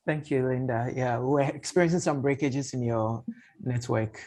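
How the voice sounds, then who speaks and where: A man's voice: mid-range voice, fairly even intonation, moderate pace, darkish tone. A man hosting an online panel discussion, informal and chatty, rephrasing as he goes. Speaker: host, panel moderator. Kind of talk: casual chat, moderating an online discussion. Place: online video call.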